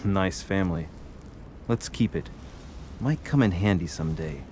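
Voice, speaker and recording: A well-mannered young nobleman, speaking in a dry, measured way. His voice sits very low, with steady noise heard clearly behind it. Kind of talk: game voice acting.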